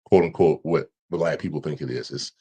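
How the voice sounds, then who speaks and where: A man with an extremely low, deep voice talks casually as a podcast guest. He speaks haltingly, restarting and repeating words as he thinks aloud. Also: warm tone, breathy edge. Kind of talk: casual conversation.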